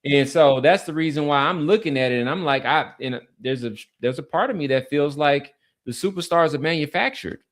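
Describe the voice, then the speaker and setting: A man's voice: fairly high pitch, brisk. Man casually debating sports. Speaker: sports podcast co-host.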